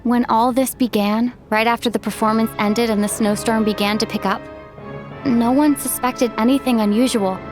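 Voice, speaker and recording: A woman playing an earnest young female sleuth in a game dub, reasoning deliberately and with determination, step by step. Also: fairly high pitch, steady tone, music in the background, lightly acted. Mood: determined, reasoning. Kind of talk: dramatic game dub acting.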